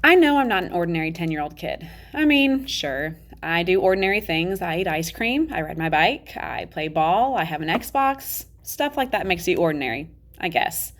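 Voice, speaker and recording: A woman's voice: lowish voice, lively intonation, normal speed, natural voice. A woman reading a children's novel as its candid, matter-of-fact ten-year-old boy narrator, in a confiding, conversational way. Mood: matter-of-fact, wistful. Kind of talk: children's novel read-aloud.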